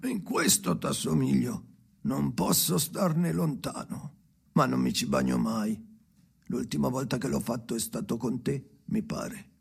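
An actor playing a haunted, restless man in an avant-garde radio drama monologue, theatrical and halting, with a gravelly voice and obsessive delivery. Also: mid-range voice, exaggerated voice. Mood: brooding, confiding. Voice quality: gravelly, creaky. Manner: theatrical, halting, obsessive, swinging from murmurs to shouts. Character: Henry, haunted, restless man talking to his dead father.

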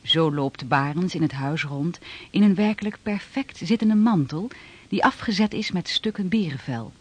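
A woman reading scripted narration for a historical radio drama in a husky voice. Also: fairly low pitch, expressive, moderate pace.

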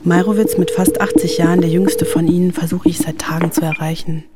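A woman's voice: lowish voice, fairly even intonation, brisk, very noisy. A woman in her forties delivering first-person narration for a radio documentary, as its author. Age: 40s. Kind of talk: first-person scripted narration with phone calls.

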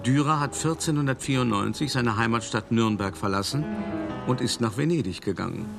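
A man's voice: medium pitch, brisk, creaky, lightly acted. An actor playing a worldly, cultured older art dealer who narrates his memories in a radio play, conversational and wry, addressing the listener directly. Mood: admiring, musing. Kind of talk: first-person radio play monologue. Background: music.

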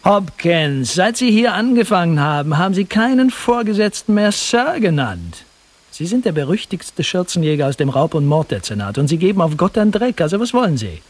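A blunt, animated police lieutenant. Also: male voice, sits high, brisk. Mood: sardonic, impatient. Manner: brusque, sardonic, commanding. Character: sceptical, blunt male police lieutenant, the superior. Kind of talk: German radio drama acting.

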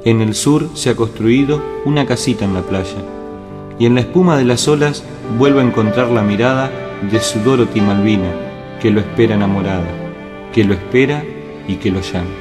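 Guy reciting story like a poem, mid voice, lots of reverb, soft music under it. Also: mid-range voice, moderate pace. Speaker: narrator. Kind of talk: poetic recitation. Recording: long reverb, music behind.

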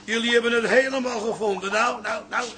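An elderly, teasing man in a radio comedy. His voice is high and needling, set in noisy ambience. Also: fairly even intonation, fairly quick. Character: elderly father-in-law, playful, needling old man. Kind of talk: radio comedy drama acting.

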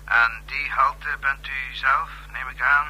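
A man playing a deferential, self-assured secret accomplice in a radio play, formal and measured, with a raspy voice. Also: mid-range voice, flat delivery, pushed and bright, exaggerated voice, rough recording. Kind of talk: Dutch radio play acting.

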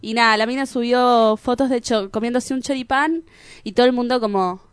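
An Argentine woman speaks bluntly and colloquially in a relaxed opinion chat. Her voice is fairly high and crisp, with a dry, close sound. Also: a little fast, no echo. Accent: Argentine Spanish.

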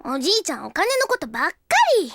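A spirited young girl, with an extremely high voice and an expressive, girlish delivery straight out of anime radio drama. The sound is dry and close. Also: quick pace, no echo, heavily acted. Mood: pert, sulky. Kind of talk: Japanese anime-style audio drama acting.

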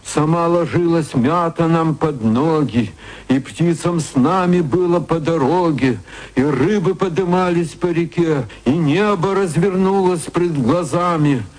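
Slow, solemn male poetry reading. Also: a little high, a little mellow, poor audio. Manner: measured, solemn, chanting verse. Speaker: poet reading his verse. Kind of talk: archival poetry recitation.

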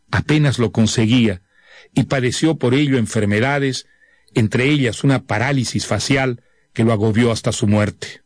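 A man presenting a novel in a scripted, measured, literate narration. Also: mid-range voice, no echo. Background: music.

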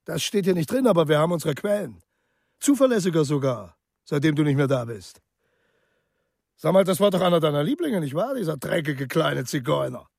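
A man's voice: on the high side, animated, fairly quick, vocal fry, a little mellow, dry recording, studio quality. An actor playing a hostile, sneering police inspector in a radio crime drama, taunting and contemptuous as he interrogates. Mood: needling.

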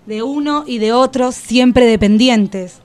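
A woman's voice: on the high side, takes it slowly, darkish tone. An actress playing a wounded, bitter and defiant enslaved everywoman, reciting emphatically in poetic radio theatre. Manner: solemn, emphatic poetic listing.

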